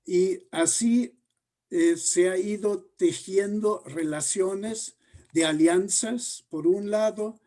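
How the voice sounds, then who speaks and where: A man explaining his research in an interview, deliberate and halting, often pausing mid-phrase. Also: sits high, warm tone. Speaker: interviewed sociologist.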